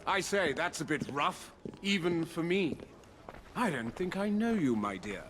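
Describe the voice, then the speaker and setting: A man playing a theatrical, flirtatious Victorian gentleman in a game cutscene, with an English accent and florid, arch delivery over music. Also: fairly high pitch, animated, measured pace, a little hiss or hum. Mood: sly, flirtatious. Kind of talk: video game cutscene acting.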